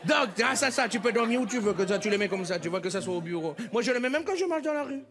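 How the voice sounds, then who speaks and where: A man improvising a comic TV ad in front of a studio audience, laughing through his lines and rambling, his voice jumping up high mid-sentence. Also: expressive, fast. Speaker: improv comedian. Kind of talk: improvised comic ad sketch on a TV game show. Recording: loud studio audience and music.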